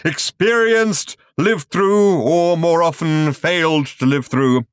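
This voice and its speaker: A man voicing a bombastic showman orator from a galactic spectacle in a science fiction audiobook, grandiose, drawn-out and sentimental, with a thunderous delivery. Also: fairly high pitch, clean tone, no echo, studio quality, voice pushed up. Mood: stirring, sentimental.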